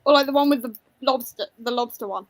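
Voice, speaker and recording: A woman's voice: high voice, fast talker. A woman chatting casually as part of a book discussion panel. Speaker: readalong panelist.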